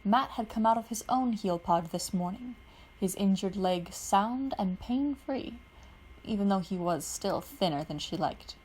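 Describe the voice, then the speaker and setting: A woman reading fan fiction aloud in a steady, even narrating manner. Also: mid-range voice, a little slow, husky, low-quality recording. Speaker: podfic reader.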